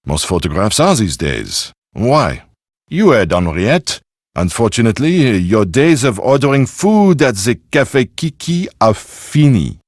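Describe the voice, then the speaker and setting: A man's voice: medium pitch, very animated, unhurried, dry recording, studio quality. A man acting as a suave, self-important Frenchman in a video game, with a French accent and pompous, precise delivery. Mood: regretful, haughty.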